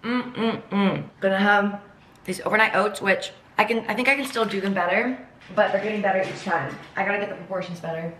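A woman's voice: mid-range voice, steady tone, a little fast, fairly bright tone, echoey room, slight background noise. A young woman, chatting casually to the camera in a vlog, upbeat and full of "like" and "y'all". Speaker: vlogger. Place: home kitchen and apartment balcony.

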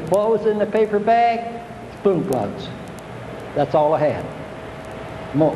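A man's voice: fairly high pitch, reverberant, big-room sound, highs cut off, noisy background. An older man, giving a plainspoken, homespun fishing talk to an audience, repeating his points. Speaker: fishing instructor. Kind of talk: folksy seminar talk.

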